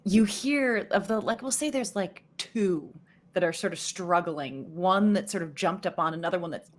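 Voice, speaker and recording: A woman running a tabletop game as game master, with a lowish voice. She improvises descriptions in hesitant, pieced-together phrases. Faint noise is audible behind her. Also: normal speed.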